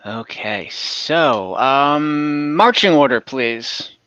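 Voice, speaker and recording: Bright-voiced man running a tabletop game. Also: medium pitch, animated, clean tone, no echo, lo-fi sound. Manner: halting, conversational, self-correcting. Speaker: game master. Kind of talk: casual tabletop game recap, narrating. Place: online game session over voice and video call.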